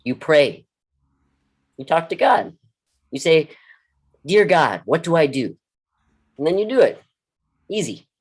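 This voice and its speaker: Actor doing a world weary school mentor type in a play reading, mid pitched, dry n frank, deliberate. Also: very animated, husky, heavily acted. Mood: dry, encouraging. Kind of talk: staged play reading, dialogue and monologue.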